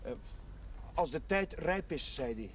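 High-voiced, restless village chaplain. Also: male voice, animated, unhurried, slight background noise. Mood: wry, earnest. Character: restless, headstrong village chaplain, wry and irritable. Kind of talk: television drama acting.

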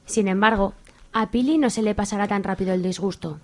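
A woman's voice: mid-range voice, a little fast. A woman delivering scripted narration as the narrator of a comic radio soap.

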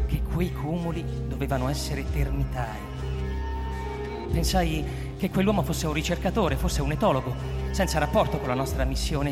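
A reflective male scientist , played by an actor, recounts a secret mission in measured, literary phrases. The voice sits in a long reverberant tail with music clearly playing behind it, as in an atmospheric radio drama. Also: mid-range voice, lightly acted. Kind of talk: dramatic scripted first-person narration, radio drama.

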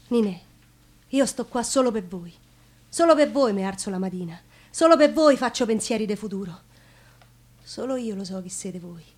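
Actress as young Roman woman, slightly high voice, theatrical, ardent, colloquial dialect, hushed to emphatic. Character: young Roman woman recounting her ardent, insistent suitor's plea. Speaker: stage actress.

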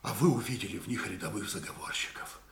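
An actor playing a proud, defiant old musketeer captain in a radio drama, impassioned, declamatory and bitter. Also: male voice, fairly low pitch, quick pace, a bit bright, a little hiss or hum. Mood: grave, earnest. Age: older. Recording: echoey studio radio play.

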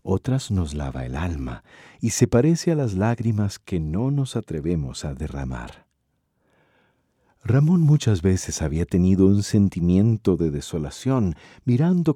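Very deep, dark-voiced male narrator. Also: slightly rough, no room sound, clean studio sound. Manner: solemn, measured. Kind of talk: scripted dramatic radio narration. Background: music.

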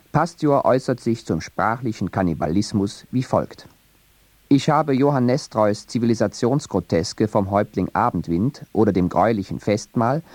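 A man reading a scripted radio essay on poetry in a measured, deliberate way. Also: on the low side, warm tone, no echo. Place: radio studio.

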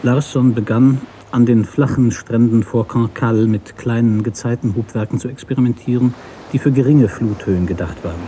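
A man reading a literary story as narrator in a measured, sober manner. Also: mid-range voice, mellow, a little hiss or hum.

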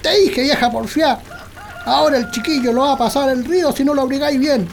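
An actor playing a grumpy, stubborn, sleepy old country husband in a comic radio drama, grumbling with a Chilean accent. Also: male voice, very high-pitched, brisk, vocal fry, slight background noise. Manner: grumbling, colloquial.

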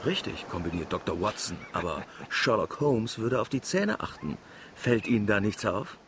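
A man playing a self-assured marine biologist and uncle in a radio drama, jovial, flirtatious and gently mocking. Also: lowish voice, sing-song, moderate pace, a little hiss or hum. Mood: teasing.

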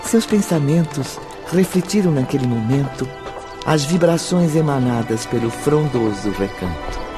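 A man acting as a solemn storyteller in a spiritual radio drama. He has a Brazilian accent and a formal, theatrical delivery with wide swings in intonation. Music is clearly heard underneath his mid-pitched voice. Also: lively intonation, measured pace, lightly acted. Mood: solemn, admiring. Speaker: narrator. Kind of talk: scripted radio drama narration.

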